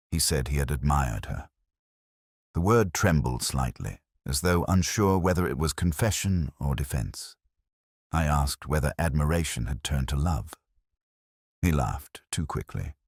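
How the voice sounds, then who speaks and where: A man narrating a scripted first-person detective story in a measured, brooding, literary manner. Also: rumbling low, husky, dry recording, studio quality.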